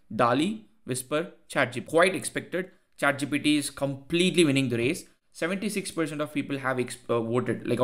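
A young man, a native Tamil speaker with a thick Indian accent, explaining a tech topic in a screen-share tutorial on a headset mic in a small home room, often repeating phrases for emphasis. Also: medium pitch. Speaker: tech YouTuber.

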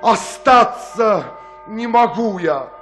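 An actor playing a pious, fearful monk in a radio tragedy, theatrical and full of horror and urgency. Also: male voice, sits high, animated, slow pace, fairly bright tone, soft background music, heavily acted. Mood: urgent, frightened. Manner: theatrical, exclamatory. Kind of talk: radio-play tragic verse acting.

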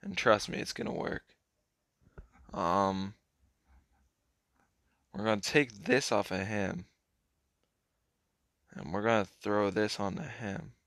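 A hoarse young man talks through a mobile card game in a slow, unhurried drawl, his voice sitting on the low side. He often lets his words fade into a mumble. The sound is dry and close, with no room around it. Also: a bit bright, no room sound. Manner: laid-back, mumbled, trailing off. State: hoarse, keeps clearing throat. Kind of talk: casual gameplay commentary.

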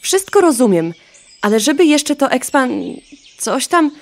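A woman's voice: sits high, sing-song, measured pace. A woman voicing a vain, flippant, rather dim gossipy hen in a children's audio play, sassy and offhand. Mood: miffed.

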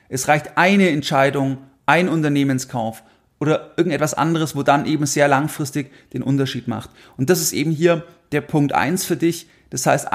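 This is a man presenting a podcast on his own. His voice sits a little higher than most men's. He explains things directly to the listener, often repeating a phrase to underline it. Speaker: finance podcast host.

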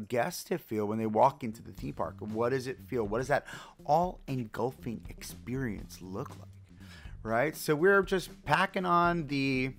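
A man with a voice gone a little raspy and hoarse talks casually over his game build, upbeat and rambling, full of fillers and restarts. His pitch sits in an ordinary middle range. Faint music plays behind him. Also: a bit bright. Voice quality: slightly raspy. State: hoarse from screaming. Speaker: let's play host.

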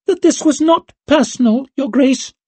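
An audiobook strongman who squeaks in a startlingly high, thin voice. He is stiffly courteous and awkward, with a dry, close sound. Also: unhurried, no room sound. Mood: awkwardly polite. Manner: stiffly polite.

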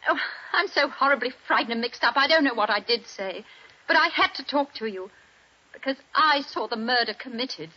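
An actress playing a frightened young wife in a 1940s radio drama, pleading and breathless. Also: female voice, high voice, lively intonation, normal speed, bright, forceful tone, full character voice. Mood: anxious. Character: frightened young wife telling detectives what she saw. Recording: dull 1940s radio broadcast.

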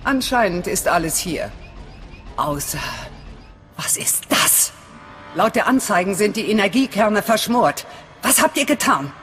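A woman's voice: medium pitch, expressive, a little slow, long pauses, a bit bright, big-room sound, faint music behind. An actress playing a hard, suspicious female resistance leader in a video game, curt and commanding. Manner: stern, clipped, commanding. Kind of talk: dramatic video game acting.

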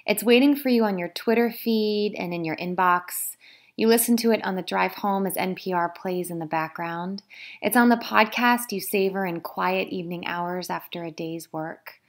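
A woman's voice: mid-range voice, normal speed. A woman who is an author, reading her own book aloud as scripted narration.

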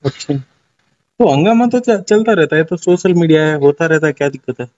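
Male, fairly high pitch, informal phone chat, muffled lo-fi call recording. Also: expressive, fairly quick, darkish tone. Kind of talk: casual private phone conversation.

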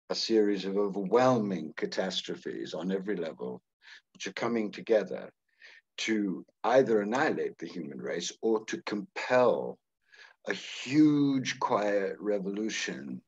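A man's voice: deep voice, slightly rough, muffled recording. A man hosting a conversation, introducing his guest in an effusive, emphatic way. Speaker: host. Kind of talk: interview introduction.

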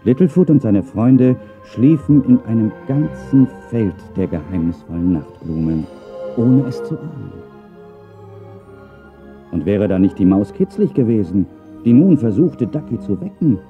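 A man's voice: lowish voice, animated, measured pace. A man narrating a children's audio drama expressively from a script. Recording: narrow phone-like sound, faint music.

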